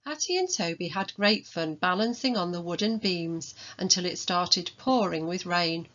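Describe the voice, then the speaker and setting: Dull-sounding recording, low-voiced woman storyteller. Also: normal speed. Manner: expressive, acts out dialogue. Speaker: author reading own children's story. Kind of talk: children's storybook read-aloud.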